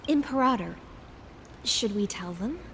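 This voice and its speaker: Measured, loyal lady knight. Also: medium pitch, expressive, a bit bright, long reverb tail, a little hiss or hum. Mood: formal, composed. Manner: formal, measured. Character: loyal, courteous woman knight of the sea. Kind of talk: dramatic anime game acting. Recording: faint background music.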